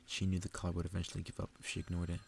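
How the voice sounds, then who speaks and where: A man reading a story aloud in a soft, subdued ASMR style, unhurried and gravelly. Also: monotone, a little hiss or hum. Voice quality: low, gravelly, soft-spoken. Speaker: ASMR reader.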